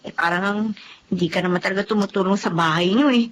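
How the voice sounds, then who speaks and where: A woman's voice: medium pitch, fast, fairly bright tone, a little hiss or hum, close to an everyday voice. A woman with a Filipino accent playing a blunt, admonishing confidante in a radio drama. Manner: emphatic, admonishing. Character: blunt, scolding female confidante lecturing a young wife.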